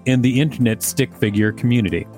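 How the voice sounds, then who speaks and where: A man's voice: medium pitch, fairly even intonation, fast talker, darkish tone, faint music behind. A man co-hosting a podcast, reading an article aloud in a casual way and breaking in with sarcastic asides. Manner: wry, interrupts the text with sarcastic asides.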